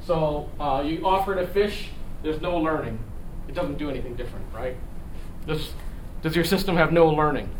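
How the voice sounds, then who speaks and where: Middle aged guy lecturing kinda off the cuff, highish voice, stop-start phrasing, far from the mic. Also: moderate pace. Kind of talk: conversational lecture with rhetorical questions. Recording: echoey room, distant mic, noisy background.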